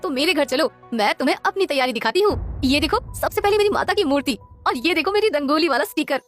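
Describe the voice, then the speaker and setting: Female performer as boastful young rich woman, high voice, sneering, haughty, cartoon dialogue, music behind. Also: expressive, fast talker. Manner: haughty, mocking. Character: boastful rich young woman, scornful of her poor friend. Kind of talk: Hindi cartoon moral-story dialogue.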